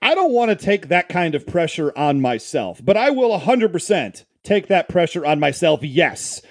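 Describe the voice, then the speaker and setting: Animated, high-voiced raspy American man. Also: moderate pace, dry recording, studio quality. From Detroit area, Michigan, US. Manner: emphatic, bombastic, repeats phrases for effect. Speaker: podcast co-host and debater. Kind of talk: comic debate banter.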